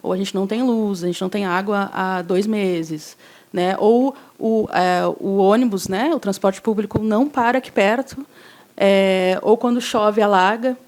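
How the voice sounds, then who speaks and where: A woman's voice: medium pitch, measured pace, darkish tone. Hesitant woman explaining as a guest. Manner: hesitant, frequent "é" fillers. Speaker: guest, housing movement coordinator. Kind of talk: casual explanatory interview talk.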